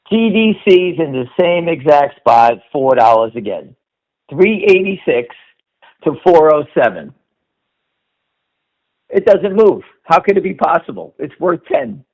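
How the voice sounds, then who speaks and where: The audio is slightly dull and lo-fi, like a conference call. A man with a fairly high voice gives off-the-cuff market commentary. He is emphatic and insistent, leaning on short statements and rhetorical questions to drive each point. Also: a little mellow.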